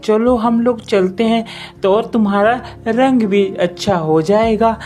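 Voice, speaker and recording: A woman's voice: fairly high pitch, a little slow, mellow, soft background music, voice pushed up, natural voice. A woman voicing a stubborn, ambitious female fox in a cartoon story, with an insistent, coaxing manner. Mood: eager coaxing.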